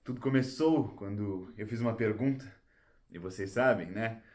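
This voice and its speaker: A man's voice: fairly low pitch, normal speed, a little mellow, natural voice. Man as a showy, pompous knowledge fairy. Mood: proud. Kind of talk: audio drama stage acting.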